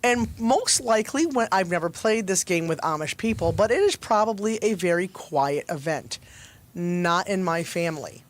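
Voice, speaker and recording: A woman chatting casually on a talk show, telling stories in a jokey, animated way and acting out bits of conversation. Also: fairly low pitch, normal speed. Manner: chatty, jokey storytelling with acted-out quotes. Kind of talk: animated casual chat and storytelling.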